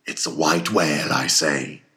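A man voicing a fierce, obsessive old whaling captain in an audiobook, declaiming his orders with slow, emphatic force. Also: rumbling low, steady tone, bright, forceful tone, heavily acted. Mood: commanding.

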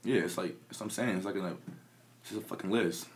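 Laid-back young rapper with a deep voice. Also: male voice, quick pace. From Peoria, Illinois. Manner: laid-back, mumbly, frequent "like" fillers and swearing. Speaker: rapper and producer. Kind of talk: casual interview chat.

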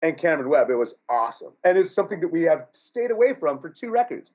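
Husky-voiced man, rambling and profane. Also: on the high side, sing-song, fast, darkish tone. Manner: rambling, profane, laughs often. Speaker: musician guest. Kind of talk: casual podcast chat.

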